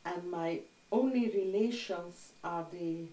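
An older woman whose first language is Hungarian, calmly recalling her childhood in an oral history interview. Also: on the low side, slow. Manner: reflective, plain-spoken storytelling. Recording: roomy sound from a distant mic.

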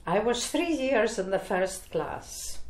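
An older woman from Poland, recounting her early life in an oral history interview, with slow, reflective speech and frequent fillers. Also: mid-range voice, sing-song. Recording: echoey room.

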